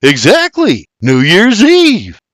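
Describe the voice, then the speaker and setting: A man's voice: high-pitched, huge pitch swings, measured pace, no room sound, clean studio sound, pitched above the performer's own voice, exaggerated voice. A man voicing a teasing, sarcastic younger Irishman with an Irish accent. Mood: wry. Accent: Irish, as played. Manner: wry, sarcastic retorts.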